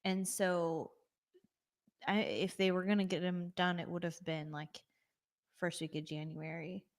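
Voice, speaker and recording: A woman's voice: on the low side, steady tone, a little slow. A woman in casual conversation who keeps chiming in and correcting her partner's story. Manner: interjecting, correcting the other speaker.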